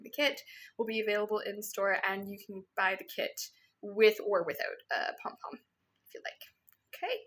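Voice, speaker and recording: A woman's voice: mid-range voice, creaky. A woman from Canada with a Canadian accent, hosting a casual show-and-tell podcast from her yarn shop, chatty and full of ums. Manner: chatty, with frequent ums and soft laughs.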